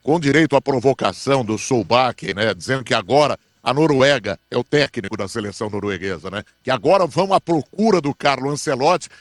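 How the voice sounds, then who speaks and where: Man, mid-pitched sports commentator; measured, explanatory radio delivery, close and dry sound. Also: expressive, no echo.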